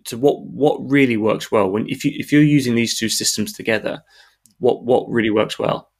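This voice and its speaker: A man's voice: mid-range voice, normal speed, darkish tone. A man hosting a business podcast, talking casually and stumbling over repeated words. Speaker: podcast host, sales director.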